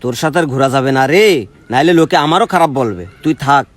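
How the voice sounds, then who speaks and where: Man acting a quick-talking disapproving village fellow. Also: fairly high pitch, lightly acted. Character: disapproving young village companion. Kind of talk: amateur Bengali short drama acting.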